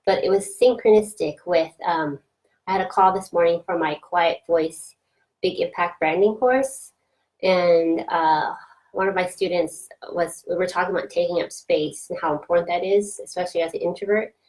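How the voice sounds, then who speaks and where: A woman's voice: on the low side, normal speed, a little mellow, poor audio. A woman coach talking casually and reflectively on a live video stream, with frequent fillers and restarts. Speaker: coach for introverted business owners. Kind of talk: casual Facebook Live talk.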